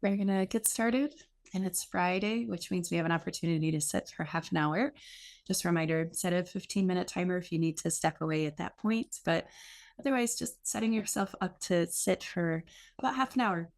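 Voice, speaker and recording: A woman teaching guided meditation in an unhurried, reassuring, gently instructive way with pauses. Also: lowish voice.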